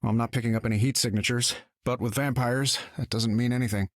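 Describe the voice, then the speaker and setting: An actor playing an assured, witty armored inventor superhero in a video game, brisk and smooth-talking. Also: male voice, mid-range voice, fairly even intonation, no echo, clean studio sound, natural voice. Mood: resolute.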